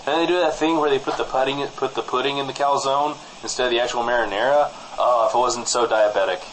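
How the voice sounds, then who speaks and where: A man's voice: mid-range voice, steady tone, fast, fairly bright tone, a little hiss or hum, lightly acted, low-quality recording. A man playing a breezy small-town radio co-host who needles with mock-earnest sincerity in comedy banter.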